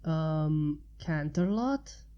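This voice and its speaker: A woman's voice: deep voice, lively intonation, extremely slow, mellow, highs cut off, exaggerated voice. A woman voicing a young female unicorn mage, earnest, caring and a little proud, in a storybook reading. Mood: relieved.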